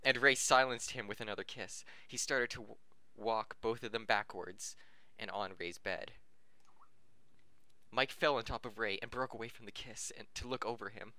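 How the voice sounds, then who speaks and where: A man's voice: fairly low pitch, normal speed, pushed and bright, dry recording. A man reading fan fiction aloud on a podcast, stumbling over words and correcting himself, often amused and breaking into laughter. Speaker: podcast reader.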